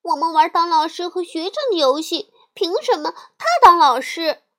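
A woman voicing an angry, bossy little girl bear cub who shouts indignantly. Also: very high-pitched, fairly even intonation, normal speed, pitched above the performer's own voice. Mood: sulky.

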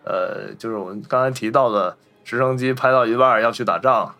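A man's voice: mid-range voice, brisk, bright, forceful tone, faint music behind. A man, talking casually about films as a podcast host. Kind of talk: casual film discussion.

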